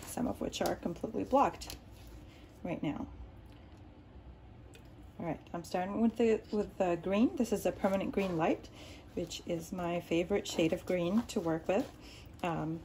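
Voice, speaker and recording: A woman's voice: on the low side, a little slow, pauses often, dark tone, slight background noise. A woman whose first language is Arabic, hosting a painting tutorial in a home studio and explaining as she works, with lots of ums and restarts. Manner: rambling, many ums and restarts. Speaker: art tutorial host. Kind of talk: casual explaining while working. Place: home art studio, indoors.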